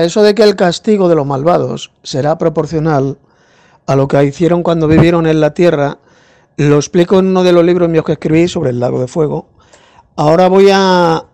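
A man with a gravelly, fry-laden voice that sits a little higher than most but sounds dark and mellow. He answers a message in a calm, unhurried way and slows down as he explains. The recording is a casual voice message. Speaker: Bible teacher.

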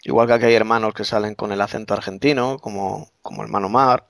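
A man's voice: on the low side, steady tone, fast talker, creaky, a bit bright, no echo, studio quality. A man with a Castilian Spanish accent exhorting in a religious discussion, emphatically repeating his points.

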